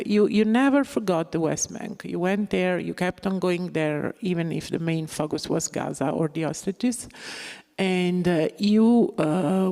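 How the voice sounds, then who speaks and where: A woman's voice: lowish voice, a little mellow. A woman moderating a festival panel, chatting conversationally and putting questions to the speakers. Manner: conversational, uses questioning tags. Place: festival conference hall. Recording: long reverb of a large hall.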